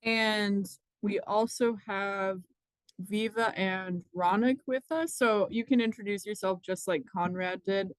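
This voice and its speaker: Brisk meeting organizer, dry sound. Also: mid-range voice, steady tone, clean tone, no room sound, poor audio. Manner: brisk, orderly, calling on people. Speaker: program manager. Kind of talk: running a public meeting.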